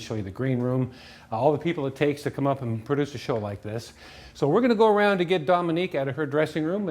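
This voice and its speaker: A man hosting a talk show, chatting in a halting way and often repeating words as he tells stories. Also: mid-range voice, darkish tone. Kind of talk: comedic monologue and show hosting to an audience.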